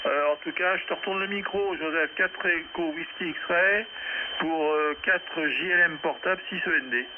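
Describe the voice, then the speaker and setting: A man's voice: a little high, moderate pace. A man speaking casually and unhurriedly as an amateur radio operator, rambling a little over a shortwave transmission. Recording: very noisy, narrow-band shortwave radio reception. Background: loud radio static and noise.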